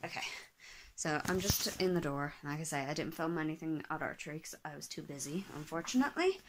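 Hoarse low-voiced young woman vlogging. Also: animated, fast, background noise. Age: 20s. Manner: chatty, rambling, self-deprecating, many ums. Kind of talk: casual vlog chat. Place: small bedroom indoors. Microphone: laptop or phone camera at desk.

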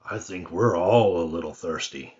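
A man voicing a big, sleepy, friendly bear who talks low and slow, in a storybook reading. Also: a little mellow, highs cut off, natural voice.

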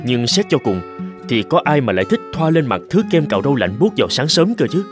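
A man's voice: medium pitch, very animated, fast, music in the background, close to an everyday voice. A man voicing an earnest, persuasive boss of a young company in a cartoon, speaking politely. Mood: explaining. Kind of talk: dubbed cartoon dialogue.

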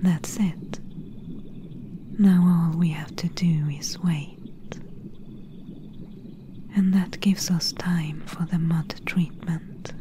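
A woman playing a gentle, soothing swamp witch in a soft-spoken, whispery ASMR roleplay. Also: lowish voice, fairly even intonation, slight background noise. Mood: calm, focused. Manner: slow, hushed, whispery and soothing.

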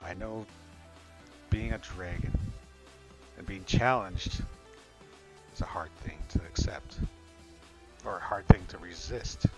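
A man's voice: low-pitched, slow, long pauses, soft background music, rough recording. A man improvising in-character roleplay conversation in a reassuring manner. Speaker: roleplayer in a virtual-world game.

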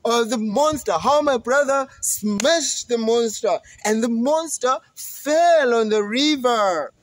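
An eager middle billy goat exclaims and brags about his big brother, as a man puts him on. The voice is high, loud and animated. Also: takes it slowly, slight background noise, heavily acted. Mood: excited. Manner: excited, exclaiming. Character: excited middle brother goat, young male.

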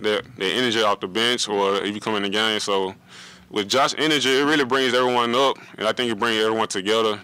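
A young man, a college basketball player, answering reporters in a halting way, often searching for words, at a table mic. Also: fairly low pitch, sharp tone, clean tone, no room sound, poor audio. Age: young adult. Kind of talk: post-game press conference. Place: press room at a table before a logo backdrop.

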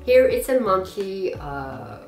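A young French Canadian woman, French her first language, talks slowly and loosely to camera. Her voice rings a little in an echoey room, with soft music faintly underneath. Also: mid-range voice, sing-song. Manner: unhurried and improvised, frequent pauses and fillers with short laughs. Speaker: cosplayer vlog host. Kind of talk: unscripted casual vlog. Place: small indoor guest room with manga shelves.

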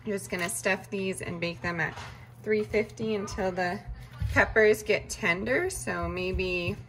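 A woman chatting casually as she walks through her meal prep in a home kitchen, relaxed and full of fillers. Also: medium pitch, unhurried, poor audio. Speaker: vlogger cooking meal prep. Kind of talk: casual cooking narration.

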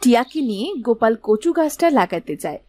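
A woman's voice: a little high, sing-song, a little fast, dark tone. A woman narrating a scripted folk tale in a storytelling style.

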